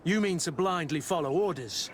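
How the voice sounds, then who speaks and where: An actor playing a blunt, challenging mercenary captain in a video game. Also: male voice, on the high side, expressive, moderate pace, close to an everyday voice. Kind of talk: video game cutscene acting. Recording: outdoor ambient noise throughout.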